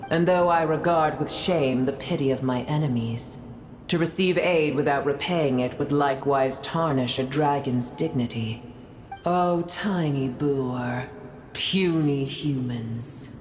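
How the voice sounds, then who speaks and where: A woman's voice: extremely low pitch, unhurried, darkish tone, a little hiss or hum. A woman voicing an ancient, proud and bitter female dragon in a video game, speaking in a haughty, grandiose, archaic manner. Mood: solemn, grand. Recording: echoing, with game music behind.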